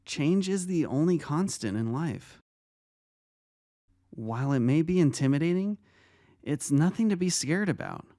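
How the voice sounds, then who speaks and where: A man voicing a kind, reassuring vice-principal in an audio drama, speaking gently and earnestly. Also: fairly high pitch, expressive, measured pace, long pauses, dry recording, studio quality. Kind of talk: audio drama fan fiction reading. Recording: music under the voice.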